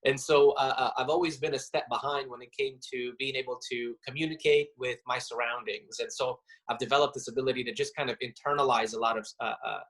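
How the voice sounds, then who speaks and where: Man, interview guest, thoughtful, pauses and fillers. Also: mid-range voice, steady tone, fairly bright tone, low-quality recording. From Romania. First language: Romanian. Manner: reflective, halting, many fillers. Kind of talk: casual interview.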